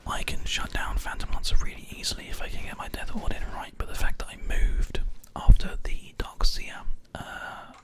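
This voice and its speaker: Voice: moderate pace, hardly any pauses. A gaming host whispering ASMR gameplay commentary very close into a binaural microphone. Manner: hushed whispering throughout. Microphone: close binaural microphone, ear to ear.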